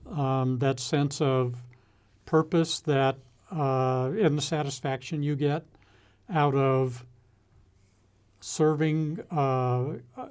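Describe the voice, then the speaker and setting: An older American man giving a reflective interview, speaking in a measured, halting way with deliberate pauses. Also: medium pitch, a little mellow. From United States. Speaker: interview guest, retired executive and former naval officer.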